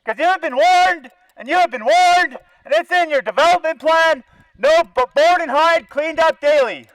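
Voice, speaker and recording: Very high-pitched, indignant Canadian man on bullhorn. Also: normal speed, a bit bright, no echo, rough recording. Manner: indignant, sarcastic, profane, confrontational. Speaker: animal-welfare activist. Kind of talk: angry protest rant through a bullhorn. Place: outdoors by a zoo fence.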